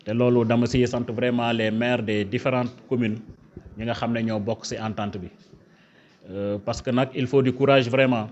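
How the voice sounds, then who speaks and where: Senegalese official, dull lo-fi sound. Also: male voice, mid-range voice, darkish tone, slight background noise. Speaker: government official, prefect. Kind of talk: formal official ceremony speech. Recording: dull, lo-fi event recording.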